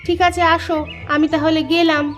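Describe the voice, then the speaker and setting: A woman's voice: very high-pitched, little pitch movement, normal speed, soft background music. A woman playing a teasing, affectionate village wife in a story, coy and colloquial. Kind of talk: acted village story dialogue.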